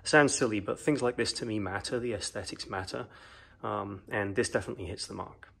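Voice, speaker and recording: Brief: man, low voice, relaxed home hobby reviewer, lots of ums, phone recording. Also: fairly quick, gravelly, husky. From New Zealand. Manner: rambling, frequent ums and uhs, relaxed. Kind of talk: casual product review. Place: home gaming room, indoors. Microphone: phone camera, off screen.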